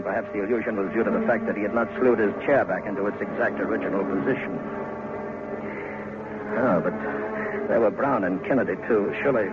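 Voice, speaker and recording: A man narrating a scripted horror story dramatically, in a suspenseful, measured manner with deliberate pauses. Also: on the low side. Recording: muffled old radio sound with music.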